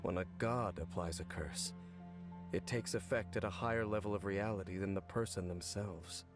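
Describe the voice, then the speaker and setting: An actor playing a stoic, world-weary man cursed for centuries in a video game, speaking in a measured, formal, grave way. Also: low voice, steady tone, lightly acted. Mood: grave, calm. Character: weary, stoic, five-hundred-year-old cursed man. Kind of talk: scripted video game voice acting. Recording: game audio with music underneath. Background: game music.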